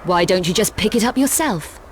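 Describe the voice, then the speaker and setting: A woman's voice: mid-range voice, lively intonation, fairly quick, a little hiss or hum, lightly acted. An actress playing a cool, daring female adventurer in a video game cutscene, speaking in a dry, clipped, wry manner. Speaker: lead character.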